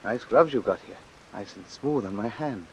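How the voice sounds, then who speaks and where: An actor playing an unhinged killer in a vintage radio suspense drama, talking with casual, gleeful menace. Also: male voice, lowish voice, expressive, normal speed, close to an everyday voice, rough recording. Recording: hissy vintage radio recording, faint noise. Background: faint hiss.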